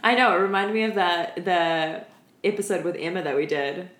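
A woman chatting casually as a podcast co-host, telling stories in an animated, slangy way and often laughing. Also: lowish voice, normal speed. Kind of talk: casual chat, storytelling. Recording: roomy, echoey room.

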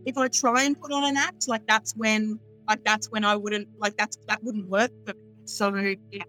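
A woman guest on a podcast, chatting casually in a smooth, high-pitched voice and cackling as she laughs. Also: little pitch movement, normal speed, sharp tone, faint music behind, rough recording. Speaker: astrologer guest. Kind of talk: casual interview chat.

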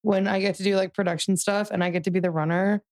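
A woman's voice: mid-range voice, fairly even intonation, quick pace, a little mellow. A young woman chatting on a podcast about working in event production, rambling with lots of fillers. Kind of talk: casual chat.

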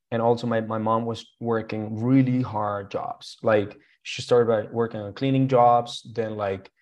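A man's voice: on the low side, a little mellow. A young man from Colombia, a Spanish speaker with a Colombian accent, earnestly telling his life story as a guest in a casual interview, with frequent fillers.

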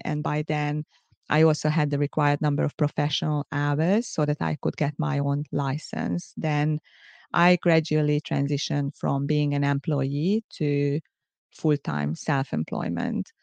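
Gravelly middle-aged woman with Hungarian accent. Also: low-pitched, a little mellow, dry recording, muffled recording. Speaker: podcast host. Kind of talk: solo podcast monologue, semi-scripted.

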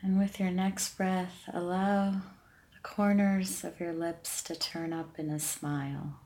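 A woman with a low-pitched voice guides a meditation. Her delivery is very slow and even, with soothing, gentle instructions and long pauses between phrases. She sounds calm and unhurried throughout. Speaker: meditation podcast host and guide.

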